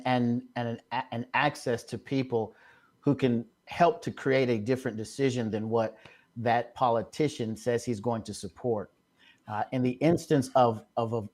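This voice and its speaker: An older man arguing in a live debate, starting sentences haltingly with many stammers. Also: medium pitch, darkish tone. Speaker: debate guest.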